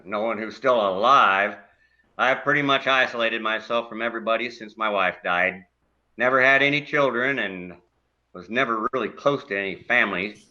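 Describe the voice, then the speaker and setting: A man's voice: fairly low pitch, normal speed, bright, forceful tone, muffled recording. A man playing a grumpy older widowed neighbor in a stage play, blunt and dryly complaining. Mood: reflective.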